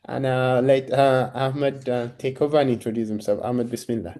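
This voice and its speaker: A male podcast host with a dark, mellow voice at an ordinary pitch. He speaks casually, as in a relaxed interview conversation. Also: moderate pace.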